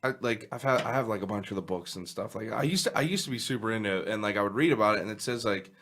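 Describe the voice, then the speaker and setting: A hoarse man hosting a casual podcast, rambling with lots of filler and swearing. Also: fairly low pitch, racing, a bit bright, a little hiss or hum. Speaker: podcast host.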